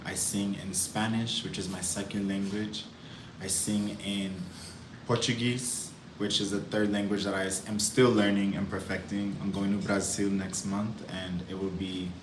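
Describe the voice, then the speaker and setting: A man live streaming, reflecting on his music career and greeting viewers by name. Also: low voice. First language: English. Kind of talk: casual live stream chat. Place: indoors in Cali, Colombia. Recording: roomy with clear background noise.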